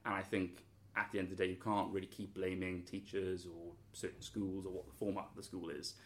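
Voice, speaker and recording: A man's voice: sits low, little pitch movement, a little fast. A young man from Wales, a student answering interview questions in an earnest, thoughtful way, full of hedges and restarts. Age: young adult.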